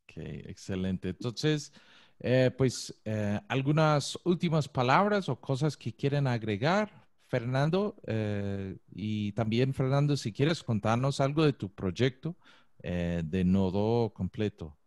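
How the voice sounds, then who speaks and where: A young man hosting a video interview, asking brief, unhurried questions into a studio microphone. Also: medium pitch, animated, a little mellow, no room sound. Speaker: host. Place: room with bookshelves. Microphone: studio microphone on arm.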